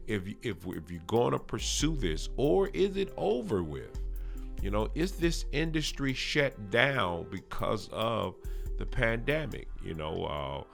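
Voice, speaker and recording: A man hosting a podcast, informally announcing an upcoming show and speaking directly to listeners in a halting way. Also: on the low side, big pitch swings, gravelly, faint music behind. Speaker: podcast host.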